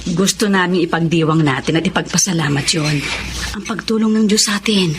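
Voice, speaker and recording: A woman playing a warm, devout, caring wife and mother in a Tagalog radio drama, expressive and tender. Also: lowish voice, brisk, background noise, lightly acted. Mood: thankful.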